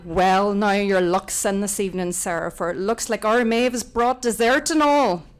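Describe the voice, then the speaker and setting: A woman voicing a hospitable, no-nonsense mother in a story reading, brisk and homely, with a Northern Irish accent. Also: mid-range voice, a little hiss or hum, lightly acted. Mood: calling out.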